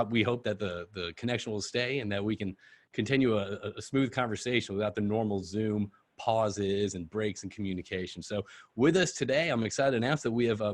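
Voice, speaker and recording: Nervous, rambling male podcast host. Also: mid-range voice. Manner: hesitant, many fillers and restarts, admits nervousness. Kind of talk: casual interview hosting.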